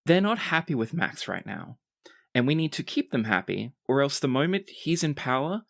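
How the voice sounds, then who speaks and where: Game master acting out composed noblewoman, earnest, dry delivery, tabletop roleplay, measured briefing. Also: medium pitch, big pitch swings, vocal fry, dry recording, voice pushed up. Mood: earnest, urgent.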